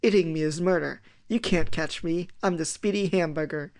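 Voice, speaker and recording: A man's voice: a little high, normal speed, close to an everyday voice. A man voicing a small, defiant runaway hamburger that chants in rhyme in a children's story. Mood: defiant, boastful.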